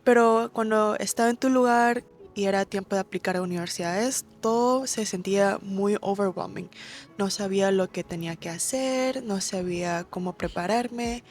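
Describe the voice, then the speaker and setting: A woman's voice: medium pitch, moderate pace. A young woman, giving friendly, direct advice to camera in a vlog and dropping in English words. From Ecuador. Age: young adult. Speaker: vlogger.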